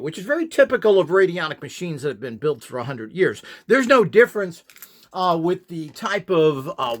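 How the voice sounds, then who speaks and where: A man's voice: a little high, a bit bright. A man giving an informal, rambling instructional talk as host, in an opinionated, dismissive manner.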